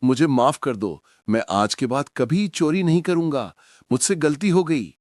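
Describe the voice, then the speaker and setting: A man voicing a sly but soft-hearted adult village thief in a cartoon moral story, with drawn-out, expressive delivery. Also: on the high side, no room sound, clean studio sound, pitched above the performer's own voice. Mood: remorseful.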